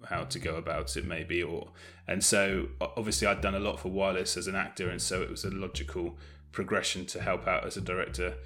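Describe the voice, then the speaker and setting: A man's voice: low-pitched, level tone, a little fast, few pauses, fairly bright tone. A man chatting casually as an interview guest, speaking in a hesitant, self-effacing way with trailing phrases. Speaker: guest, audio drama director and producer.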